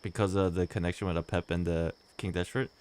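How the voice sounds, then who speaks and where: A man's voice: deep voice, steady tone, fast talker, a little mellow, no echo. A man doing casual playthrough commentary as a streamer, reflective and theorizing aloud as he goes. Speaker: gaming streamer. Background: game music.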